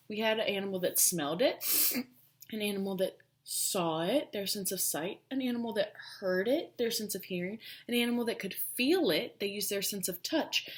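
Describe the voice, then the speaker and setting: A woman teacher reads a picture book to small children. Her pitch rises and falls a great deal and her pace is unhurried. She is emphatic and often thinks aloud between phrases. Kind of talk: children's storybook read-aloud with questions.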